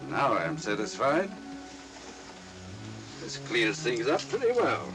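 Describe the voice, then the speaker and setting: A man's voice: medium pitch, sing-song, takes it slowly. A composed police inspector from an old mystery film. His official, dry delivery rises and falls widely, with music playing behind him. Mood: officious. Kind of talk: 1940s film mystery acting.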